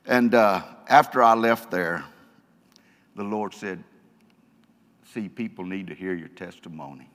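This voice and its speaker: A man's voice: low-pitched, measured pace, pauses often, fairly bright tone. Stuttering man telling his testimony in a hall. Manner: folksy, joking storyteller. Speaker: church speaker giving testimony. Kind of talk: testimony talk to a congregation. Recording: long hall reverb.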